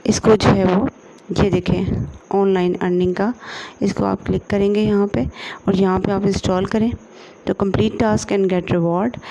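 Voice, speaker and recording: A woman with a Pakistani accent presenting an informal screen-share tutorial, halting often and leaning on repeated filler phrases. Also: mid-range voice, warm tone, highs cut off.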